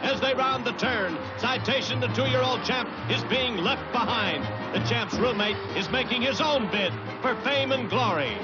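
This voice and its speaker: An American man delivers theatrical mid-century newsreel commentary on horse racing. His voice is pitched very high, urgent and grandiose in phrasing. The old soundtrack is dull and limited, with loud music playing behind him. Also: normal speed, few pauses.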